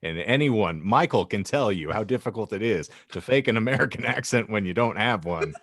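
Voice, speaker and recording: A man's voice: on the low side, lively intonation, fairly quick, a bit bright, no room sound, studio quality. A man co-hosting a comedy podcast in casual banter, with wordy, mock-eloquent phrasing. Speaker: podcast co-host.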